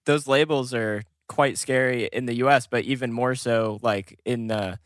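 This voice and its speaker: A man's voice: mid-range voice, fairly even intonation, normal speed, no room sound. Man chatting in a dry room. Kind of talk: casual, explanatory podcast chat.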